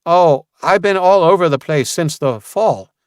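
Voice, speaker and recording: A man's voice: a little high, clear, resonant tone, dry recording, clean studio sound, voice pushed up, close to an everyday voice. A man voicing a nervy British man who puts on a bad American accent in an audiobook. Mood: nervous. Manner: hurried, blurting.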